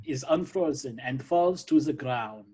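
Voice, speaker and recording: Mid-pitched man reading stage directions. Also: unhurried, mellow, husky. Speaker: narrator.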